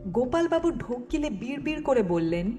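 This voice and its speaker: A woman's voice: on the high side, sing-song, moderate pace, dark tone, soft background music. A woman reading a scripted story as a dramatic audiobook narrator.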